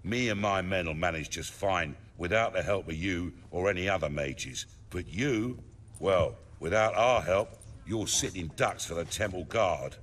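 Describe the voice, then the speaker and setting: An actor playing a confident, scheming middle-aged underworld boss in a fantasy video game, speechifying in measured, colloquial English. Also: male voice, low-pitched, fairly bright tone, a little hiss or hum. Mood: stern, challenging. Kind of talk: dramatic video game dialogue.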